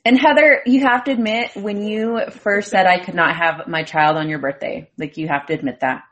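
A woman's voice: on the low side, steady tone, normal speed, fairly bright tone. A young woman hosting a casual group chat, speaking informally with mild, folksy exclamations. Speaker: host of a show with relatives.

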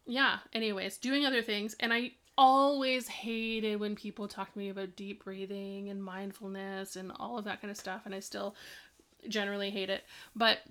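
Chatty mom co-host giving tips. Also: female voice, medium pitch, little pitch movement, normal speed. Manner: rambling, warm advice with fillers. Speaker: podcast co-host, parent. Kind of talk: casual chat, giving tips.

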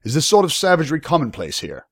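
A man's voice: a little high, fast, no echo, clean studio sound, pitched above the performer's own voice, heavily acted. A man voicing a young noble lord in a fantasy audiobook, formal, imperious and coldly menacing. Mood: stern. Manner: formal, imperious, bellowing or ominously calm. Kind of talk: fantasy audiobook character acting.

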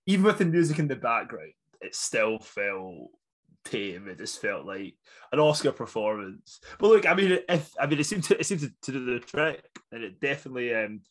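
A man's voice: mid-range voice, big pitch swings, moderate pace, a bit bright. A young adult man with a Scottish accent, co-hosting a casual football podcast, rambling with fillers and laughter. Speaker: podcast co-host. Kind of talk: casual chat.

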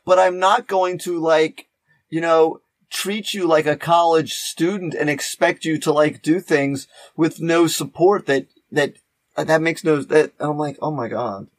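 A man in his fifties talking casually on a podcast as a teacher, animated, repeating words for emphasis and acting out remarks. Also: fairly high pitch, measured pace. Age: 50s. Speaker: high school teacher.

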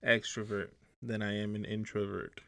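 A man's voice: on the low side, level tone, moderate pace, vocal fry, a bit bright. A man co-hosting a casual podcast, playful and teasing, with slangy banter. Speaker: podcast co-host. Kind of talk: casual chat.

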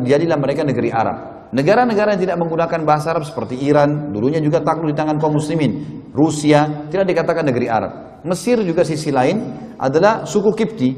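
A man's voice: on the high side, a little mellow. A man giving a religious history lecture to a congregation as an Islamic preacher, explaining step by step and often checking that listeners follow. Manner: explanatory, addresses listeners, checks understanding with short tags. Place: mosque prayer hall. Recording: reverberant hall with long echo.

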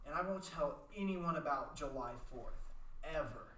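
Heard from a distance in a roomy space, a man acting an earnest, pushy teenage boy. His voice is bright and a little high, pitched for an amateur radio drama. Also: animated, moderate pace. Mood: insistent, pleading. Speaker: amateur radio play actor. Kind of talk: amateur radio play reading.

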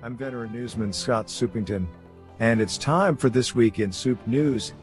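A man's voice: medium pitch, normal speed, lightly acted. A man voicing a stately veteran male newsman, in an announcer delivery over music. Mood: announcing.

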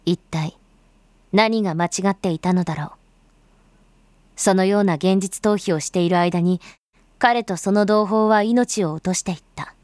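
A woman's voice: medium pitch, normal speed, long pauses, a little mellow, no echo, close to an everyday voice. A woman performing as a grave storyteller, giving scripted dramatic narration in solemn, literary phrasing. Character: grave storyteller recounting a bloody uprising.

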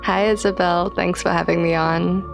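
Creaky-voiced young woman, chatty. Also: on the low side, level tone, normal speed, music in the background. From Singapore. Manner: chatty, playful, slangy. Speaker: podcast guest, true crime podcaster. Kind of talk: casual interview chat.

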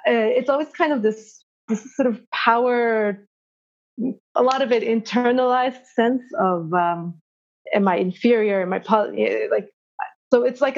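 A woman from Japan with an American accent, sharing her thoughts reflectively in a discussion forum, halting and restarting often. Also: mid-range voice, darkish tone. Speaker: forum participant. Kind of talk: casual personal sharing in a discussion. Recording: dull lo-fi audio.

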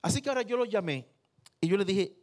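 A man, a pastor preaching and telling personal anecdotes in a lively way. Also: sits high, fast talker. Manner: lively storyteller who acts out quotes.

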